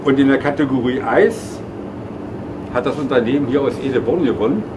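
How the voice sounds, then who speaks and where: A man's voice: mid-range voice, a little fast, noisy background. Formal man presenting a regional award. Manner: formal, with many filler hesitations. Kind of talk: official speech.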